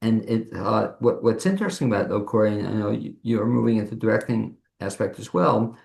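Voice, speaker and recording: Gravelly man interviewing in an echoey room. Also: lowish voice, brisk, a little mellow. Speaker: host, interviewer. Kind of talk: conversational interview questioning.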